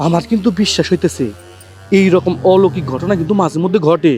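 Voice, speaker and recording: A man's voice: sits high, fast, a little mellow, faint music behind, natural voice. An actor playing a greedy, scheming village man who bosses his wife. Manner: brusque, bossy with his wife. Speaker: neighbor.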